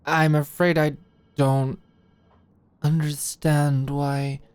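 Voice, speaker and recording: A woman's voice: low-pitched, little pitch movement, drawn-out words, a little mellow, smooth. A woman playing a stiff, dutiful female British office manager in an audio roleplay, speaking formally and with restraint. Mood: guarded, taken aback.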